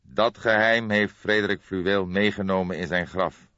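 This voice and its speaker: A man voicing a weary, reflective old detective who speaks in a deliberate, solemn way in an audiobook. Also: deep voice, pushed and bright, voice pushed up. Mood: grave. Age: older. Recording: boxy, echoey, dull recording.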